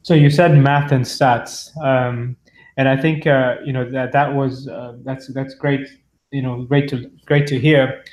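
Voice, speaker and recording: A man's voice: mid-range voice, level tone, measured pace, a little mellow. A man hosting an interview, asking long, rambling questions with restarts. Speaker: host.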